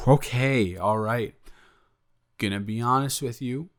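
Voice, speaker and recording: A man's voice: medium pitch, fairly bright tone. A man playing a sheepish, good-natured roommate in an improvised roleplay audio, talking casually with hesitant, stammering repeats. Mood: nervous, relieved. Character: sheepish, awkward, good-natured male roommate, secretly smitten.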